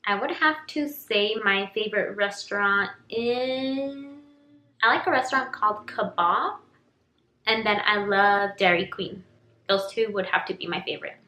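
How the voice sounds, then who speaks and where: A woman's voice: medium pitch, a little slow, pushed and bright. A young woman, a native Spanish speaker, chatting casually through a get-to-know-me Q&A, rambling with lots of "like" and "um". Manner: chatty, rambling, heavy use of "like" and "um". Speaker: YouTuber. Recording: echoey room.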